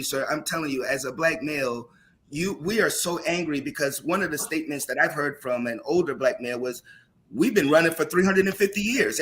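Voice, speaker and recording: A man who is a teacher speaks in a panel discussion with a loud voice, passionate and emphatic. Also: on the high side. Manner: impassioned, rising to emphatic questions.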